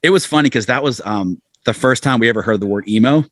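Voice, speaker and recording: A man's voice: medium pitch, fast, dry recording, studio quality. A middle-aged man chatting casually as a podcast guest, telling anecdotes in a self-deprecating way and acting out quotes. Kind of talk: casual anecdotal interview chat.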